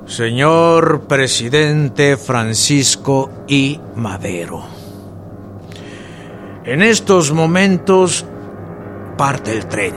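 A man playing a stern, unyielding army general in a radio drama. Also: mid-range voice. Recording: music behind the voice.

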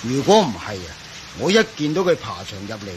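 A crafty older professor in a radio drama, speaking with a Hong Kong Cantonese accent. His pitch swings widely as he sighs and condescends with false geniality. Clear rain noise sits behind the voice. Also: big pitch swings, brisk, darkish tone. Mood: weary, dismissive.

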